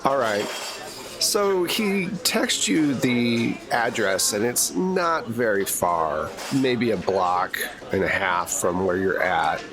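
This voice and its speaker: Slow, animated man as game master. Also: lowish voice, crowd noise. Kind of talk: narrating a tabletop roleplaying game.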